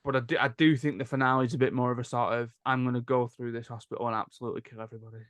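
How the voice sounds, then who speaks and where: A man's voice: mid-range voice, flat delivery, very fast, fairly bright tone, no echo. A man hosting a casual podcast discussion in a conversational way. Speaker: podcast host. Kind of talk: casual chat.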